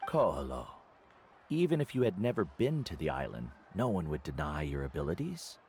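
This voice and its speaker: An actor playing a calm, wise old mentor in a video game, speaking in a measured, sage-like way. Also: male voice, very deep voice, a little hiss or hum. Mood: gently probing.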